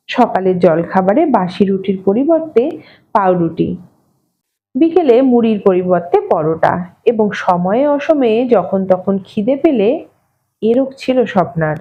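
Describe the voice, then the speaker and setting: A woman reading an audio story in an expressive, measured, wry narrating manner. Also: a little high, lo-fi sound. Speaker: story reader.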